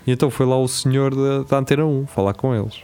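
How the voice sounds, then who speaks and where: A man's voice: mid-range voice, steady tone, fast talker, mellow, clean tone. A man with a European Portuguese accent telling stories in a casual podcast chat among friends, swearing and laughing often. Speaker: podcast co-host.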